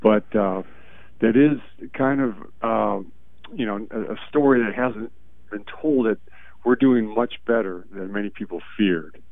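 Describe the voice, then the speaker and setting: Deep-voiced man, hoarse and stuffy, halting delivery, heard over a phone line. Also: no room sound. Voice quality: gravelly. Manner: slow and halting, often trailing off quietly. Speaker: guest expert on call-in. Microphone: phone call. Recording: tinny phone line, dry.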